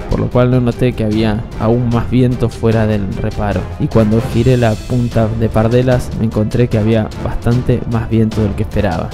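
A man with an Argentine accent narrating a sailing travel story as a voice-over. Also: lowish voice. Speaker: sailor and video narrator. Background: music.

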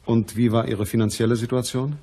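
An actor playing a businesslike, courteous private detective in a radio crime drama, with a husky voice and a measured, matter-of-fact manner. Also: male voice, medium pitch, level tone, dark tone, slight background noise, close to an everyday voice. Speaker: narrator and lead actor.

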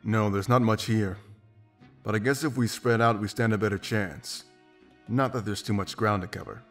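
Casting: confident, pragmatic wolf sellsword for a fantasy game, low register, blunt delivery over music. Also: male voice, fairly even intonation, moderate pace, fairly bright tone. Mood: wry. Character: confident gruff male wolf mercenary, pragmatic. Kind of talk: video game voice acting. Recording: music behind.

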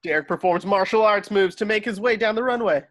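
A man with a fairly high voice serves as narrator. He reads out scripted stage directions for a table read on a video call. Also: a little fast, a bit bright.

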